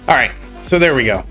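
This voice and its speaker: A man's voice: medium pitch, bright, forceful tone. Congested man chatting on a game stream. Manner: grumbling, rambling, exasperated. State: congested with a cold. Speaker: gaming streamer. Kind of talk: casual live stream chat.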